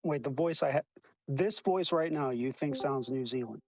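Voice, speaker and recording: A man's voice: mid-range voice, normal speed, no echo. A man in an online voice chat argument, stammering and restarting as he defends himself. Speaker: debate participant defending himself.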